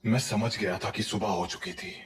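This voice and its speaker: Casting: grave widowed village dad, played by a man, low and somber, slightly off-mic, horror audio play. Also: fairly even intonation, moderate pace, recorded from a distance, slight background noise, lightly acted. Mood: grave, sorrowful. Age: middle-aged. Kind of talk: Hindi audio horror drama acting.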